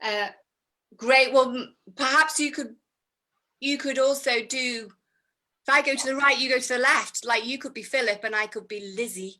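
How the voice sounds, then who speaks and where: Bright, high-voiced chatty woman. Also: moderate pace. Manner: chatty, giggly, lots of filler words. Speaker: improv performer and host. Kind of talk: improvised comedy scene. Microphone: video call.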